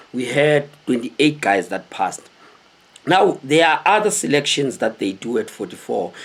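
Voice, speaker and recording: A man in his thirties with a South African accent and a husky, slightly rough voice at medium pitch. He talks as a host sharing stories with his audience, casual and animated, checking in with viewers as he goes. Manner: animated, conversational, with rhetorical questions to viewers. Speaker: host telling stories of his military service. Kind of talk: casual storytelling to camera. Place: small indoor room with cloth backdrop.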